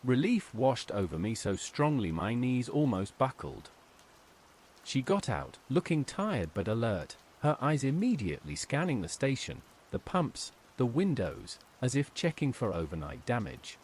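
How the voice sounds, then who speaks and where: Man narrating horror, expressive pitch swings. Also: a little slow, slight background noise. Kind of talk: scripted horror story narration.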